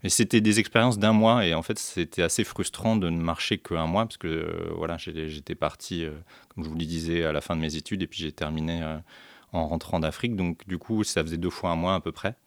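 A man's voice: low-pitched, steady tone, brisk, no room sound. A man from central France, a guest on a radio interview, telling his story with many fillers and restarts. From central France, Orléans area. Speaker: guest, beekeeper and deacon.

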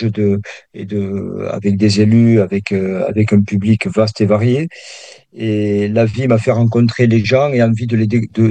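A man's voice: lowish voice, mellow, no echo. A man in his sixties with a southern French accent, a meditation teacher speaking as a guest in a radio interview, hesitant with many restarts. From Perpignan, southern France. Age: 60s.

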